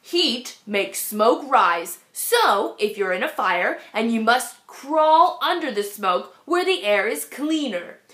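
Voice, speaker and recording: A woman's voice: fairly high pitch, big pitch swings, slow pace, hardly any pauses, a bit bright, full character voice. A woman voicing a patient male schoolteacher who lectures steadily and with emphasis, in a storybook read-aloud. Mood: instructive. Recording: echoey room.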